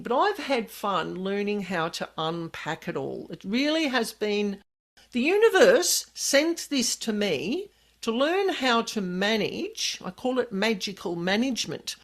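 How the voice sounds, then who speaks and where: A woman hosting a chatty podcast, her slightly high voice croaky and hoarse from a passing virus. She explains things earnestly and at length, in an easy, conversational way. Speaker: podcast host. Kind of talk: casual podcast chat.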